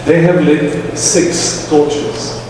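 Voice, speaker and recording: A man giving a formal commemorative speech, measured and solemn as he reads out a long list of names. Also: fairly high pitch, flat delivery, noisy background. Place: large reverberant museum hall. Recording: echoey hall, voice from far off.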